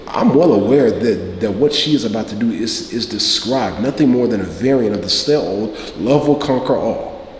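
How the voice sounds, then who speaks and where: A man's voice: on the low side, a little hiss or hum, close to an everyday voice. Actor playing defensive, cynical man in an echoey hall. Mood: earnest, insistent. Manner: deliberate, emphatic, self-justifying. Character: defensive, cynical man confessing about a one-night pickup. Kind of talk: dramatic stage monologue. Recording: echoey hall, long reverb, faint room ambience.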